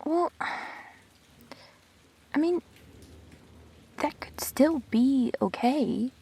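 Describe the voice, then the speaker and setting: A woman playing a perceptive, teasing, supportive friend in an intimate sci-fi audio drama, expressive and blunt. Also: high voice, drawn-out words, full character voice. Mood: reassuring.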